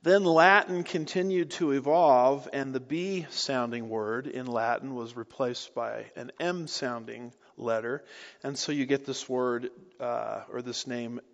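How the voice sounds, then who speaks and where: Guy teaching scripture real slow, muffled sound, long echo like a big hall. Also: mid-range voice, steady tone, gravelly. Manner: slow, deliberate teaching with asides and rhetorical questions. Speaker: pastor. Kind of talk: expository Bible teaching. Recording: dull audio with long hall reverb.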